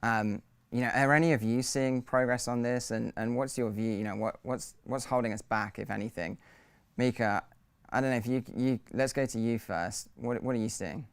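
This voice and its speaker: A man moderating a panel discussion, measured and hedging with fillers. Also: medium pitch, steady tone, smooth, no echo.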